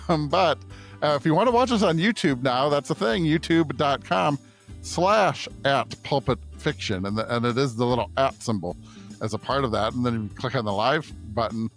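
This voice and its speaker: A man's voice: medium pitch, animated, normal speed, soft background music. A man co-hosting a podcast, talking in a casual, conversational way. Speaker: podcast co-host.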